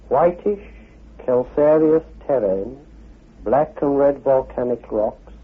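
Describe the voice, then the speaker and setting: A man giving a measured expository talk as an esoteric author. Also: mid-range voice, gravelly, husky. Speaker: author and head of an esoteric order. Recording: dull lo-fi radio recording.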